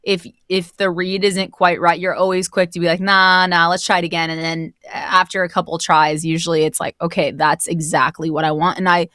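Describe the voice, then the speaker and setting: Female guest narrator, slightly low voice, enthusiastic and reflective in casual talk, dry close recording. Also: level tone, brisk, hardly any pauses, pushed and bright, no echo. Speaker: guest, audio drama narrator. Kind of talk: casual conversational interview.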